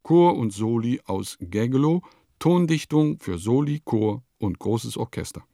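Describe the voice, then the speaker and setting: An actor playing an eccentric village composer, declaiming lists, notes and diary entries in a scripted radio play. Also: male voice, medium pitch, lively intonation, measured pace, mellow, dry recording, clean studio sound. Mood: matter-of-fact.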